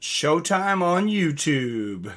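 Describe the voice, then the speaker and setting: A man's voice: fairly high pitch, very animated, drawn-out words, fairly bright tone, slight background noise. A man hosting a live stream, chatting casually and reading out comments from viewers.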